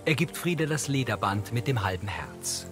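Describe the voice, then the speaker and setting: A man's voice: medium pitch, animated, normal speed, over music. A man reading scripted audio description narration for a TV drama.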